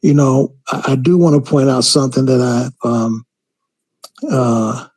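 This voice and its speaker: A man calling in to a talk show, speaking in a halting way with many fillers and restarts. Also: medium pitch, fairly even intonation, darkish tone, no echo. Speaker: caller. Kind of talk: call-in commentary.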